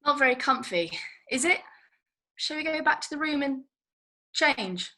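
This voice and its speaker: A woman's voice: high-pitched, animated, unhurried, bright, forceful tone, exaggerated voice. A woman reading the part of a feisty, blunt, working-class young woman in a comedy script, colloquial and mocking. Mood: teasing. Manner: colloquial, mocking, non-standard grammar. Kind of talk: table read of a comedy screenplay.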